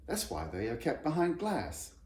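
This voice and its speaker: A man's voice: fairly high pitch, huge pitch swings, moderate pace, a little mellow, voice pushed up. A man reading a children's storybook, voicing an eager, knowing young girl who likes to explain. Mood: helpful. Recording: roomy echo, background noise.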